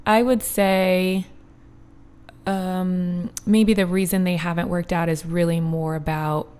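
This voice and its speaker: A woman's voice: on the low side, little pitch movement, slow, a little mellow, clean tone. A thirty-year-old woman, a podcast guest, chatting casually and animatedly, acting out what people say and laughing often. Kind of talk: casual chat.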